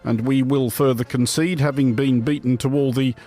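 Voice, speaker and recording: A man presenting a satirical news review as scripted narration, with a deadpan, wry and wordy delivery. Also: mid-range voice, normal speed. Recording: music bed under the voice. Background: music.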